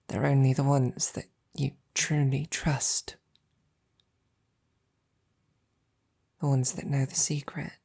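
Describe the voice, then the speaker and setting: A devoted lady knight, played by a woman in an intimate ASMR romance roleplay. Her voice is low, husky and breathy, often slipping into a whisper, with a gravelly fry. She speaks slowly and haltingly, tender and gently teasing. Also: heavily acted. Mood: gentle, probing. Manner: soft, halting, affectionate.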